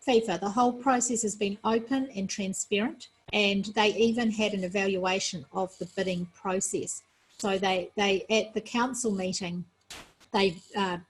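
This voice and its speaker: A husky-voiced woman speaks as an interview guest, explaining in a measured, earnest way. She sounds tired after a night without sleep. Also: medium pitch, poor audio. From New Zealand. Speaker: sports federation president, guest.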